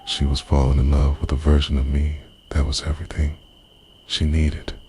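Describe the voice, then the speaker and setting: A man's voice: a bass voice, little pitch movement, moderate pace, a little mellow, slight background noise. A man playing a lovestruck, obsessive dream invader in an intimate ASMR monologue, confessional, brooding and half-whispered. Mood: yearning, guilty.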